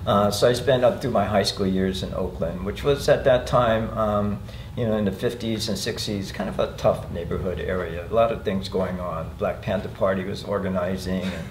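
A man's voice: deep voice, moderate pace. A man in his sixties from California recalling his youth in a group interview, easygoing and chuckling often. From California, USA. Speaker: interviewee, taiko founder. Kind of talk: group interview, personal recollection. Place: museum meeting room, seated in a group. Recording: roomy room, faint noise.

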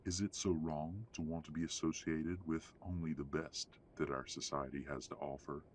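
A man playing a haughty, middle-aged werewolf patriarch in an audio drama, speaking in a formal, measured, condescending way. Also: a bass voice, steady tone, a little hiss or hum. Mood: coolly disapproving. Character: haughty, domineering old-money werewolf patriarch father. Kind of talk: audio roleplay drama acting.

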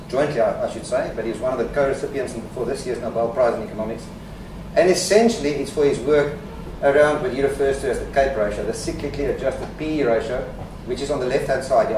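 A man giving a conference presentation, joking dryly, with fillers and restarts. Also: mid-range voice. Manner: wry, joking asides with fillers. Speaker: conference speaker. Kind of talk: presentation talk. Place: conference hall. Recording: echoey hall from far off, background noise.

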